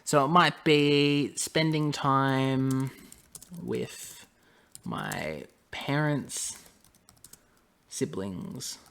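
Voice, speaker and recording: Relaxed young man, echoey room. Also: medium pitch, extremely slow, vocal fry, long pauses. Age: 20s. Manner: relaxed, conversational, repeats phrases for emphasis. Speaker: lifestyle vlogger. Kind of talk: casual how-to talk.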